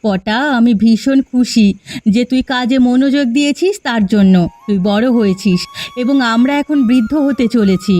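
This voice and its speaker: A woman's voice: a little high, normal speed, mellow, no room sound, soft background music, lightly acted. A woman voicing an honest, caring aging mother in a cartoon who scolds her son affectionately. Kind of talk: Bengali cartoon dubbing.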